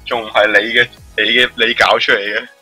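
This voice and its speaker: A man's voice: lowish voice, flat delivery, a little fast, pushed and bright, soft background music, low-quality recording. A man who is a native Cantonese speaker, chatting casually as a streamer during a live game.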